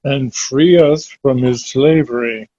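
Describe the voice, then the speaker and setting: A man reading Shakespeare verse drama aloud as an amateur, in a measured, deliberate way over a dull online call. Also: medium pitch, mellow, no room sound.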